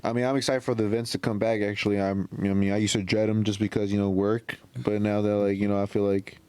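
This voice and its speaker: A man on a podcast, making slow, deadpan quips in casual chat. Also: lowish voice, clean tone, dry recording. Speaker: podcast co-host.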